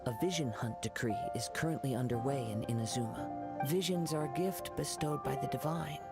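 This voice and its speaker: An actor playing a calm, courteous young samurai in a video game, speaking in a measured, formal, contemplative way. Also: male voice, mid-range voice, creaky, close to an everyday voice. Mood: grave, contemplative. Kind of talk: video game character voice acting. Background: game music.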